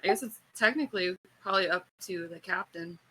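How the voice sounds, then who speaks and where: A woman's voice: fairly low pitch, brisk, fairly bright tone, breathy edge, low-quality recording. A woman playing a tabletop roleplaying game, chatting informally and thinking aloud with questions. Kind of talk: casual chat.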